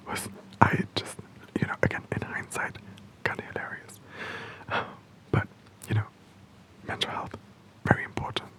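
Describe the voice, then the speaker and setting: A young adult telling a personal story in a soft, unvoiced whisper, candid and self-deprecating, often breaking into laughter. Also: poor audio. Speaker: storytelling vlogger.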